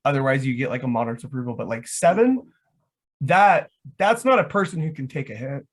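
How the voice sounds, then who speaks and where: A man's voice: medium pitch, lively intonation, fairly quick. A man chatting casually in a panel discussion, informal and hedging as he goes. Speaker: panel guest and larper.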